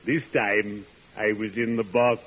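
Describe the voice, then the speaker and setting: An actor playing a friendly, morbidly genteel undertaker in 1940s radio comedy, with a formal, theatrical, drawn-out delivery. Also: male voice, fairly low pitch, flat delivery, lightly acted. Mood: genteel, morbid pride. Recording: noisy, dull old radio recording.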